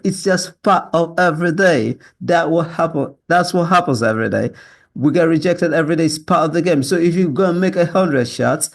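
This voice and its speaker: A Yoruba-speaking Nigerian man with a learned British accent, pitching himself as a salesman in an assertive monologue, often tagging statements with a questioning yeah or right. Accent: learned British with Nigerian influence. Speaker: sales closer, job applicant. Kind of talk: self-presentation monologue to camera.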